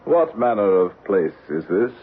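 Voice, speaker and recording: A man's voice: fairly low pitch, very animated, unhurried. An actor playing a courtly, deluded man who believes he is a medieval Scottish knight, speaking in formal, archaic phrases with solemn gallantry in a radio drama. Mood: courtly wonder. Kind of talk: 1970s radio drama acting. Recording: tinny band-limited old radio broadcast.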